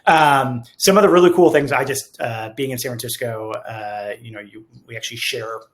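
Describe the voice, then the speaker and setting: A man's voice: medium pitch, normal speed. A man co-hosting a company webinar in a casual, conversational way, thinking aloud as he goes in an informal, rambling manner. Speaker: co-host of a company webinar, software company staff. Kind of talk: casual panel talk.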